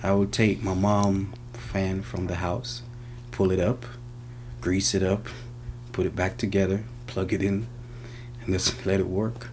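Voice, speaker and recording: A man's voice: sits low, little pitch movement, unhurried, a little mellow, a little hiss or hum. A man with a Jamaican accent giving casual answers in an interview. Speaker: interviewee, senior car technician.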